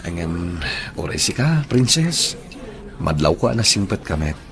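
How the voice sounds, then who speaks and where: A man acting a shy, earnest young office worker in love with a coworker, soft-spoken, in a radio drama. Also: sits low, very animated, measured pace, gravelly, heavily acted.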